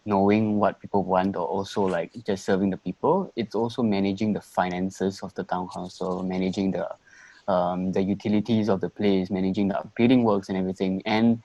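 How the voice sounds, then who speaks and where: Man, mid twenties, Singaporean accent, lowish pitch, dry recording, conversational panel talk. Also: dark tone, no echo, muffled recording. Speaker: first-time voter on a discussion panel. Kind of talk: conversational group discussion.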